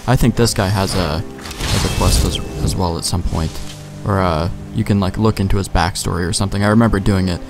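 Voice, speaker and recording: A man's voice: sits low, fairly even intonation, fairly quick, few pauses, loud music behind the voice. A man giving casual, sweary let's play commentary, thinking aloud in a laid-back way. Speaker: gamer, let's play host.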